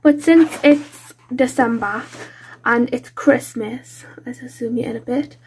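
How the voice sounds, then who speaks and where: A woman's voice: on the high side, takes it slowly, a little mellow, slight background noise, poor audio. A British woman with a blocked-up nose from a cold, chatting casually and informally as she hosts a craft vlog. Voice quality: congested, nasal. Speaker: colouring channel host.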